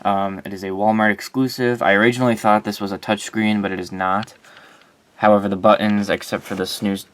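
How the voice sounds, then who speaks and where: A man's voice: fairly low pitch, little pitch movement, brisk, slight background noise. A man casually and informally presenting his collection in a rambling, unscripted vlog.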